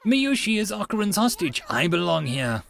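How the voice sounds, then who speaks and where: A narrator voicing a sweet, childlike young girl from an anime story, with a soft, innocent, questioning manner. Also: mid-range voice, big pitch swings, moderate pace, vocal fry, voice pushed up. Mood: earnest.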